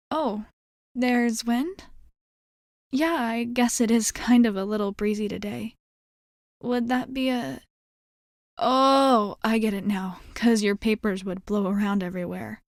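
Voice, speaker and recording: A woman's voice: fairly high pitch, no room sound, studio quality. A woman playing a sporty schoolgirl in an ASMR roleplay, breezy and blunt yet sheepish, rambling casually and backtracking hesitantly. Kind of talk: ASMR roleplay acting, one-sided dialogue.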